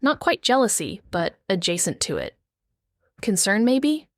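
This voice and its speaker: A woman's voice: mid-range voice, sing-song, a little slow, no room sound. A cautious young woman detective, played by an actress, narrates in a wry, thoughtful first-person voice. The recording is close and dry, with no room sound. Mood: reflective. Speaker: narrator and lead character. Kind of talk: noir audio drama narration.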